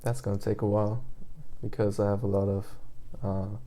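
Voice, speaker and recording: A man's voice: lowish voice, little pitch movement, normal speed, mellow, a little hiss or hum. A man in his twenties with a German accent, just out of bed, rambling casually about his language study with lots of fillers and restarts. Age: 20s. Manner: meandering, hesitant, many fillers and restarts. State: just woke up. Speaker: language learner vlogger.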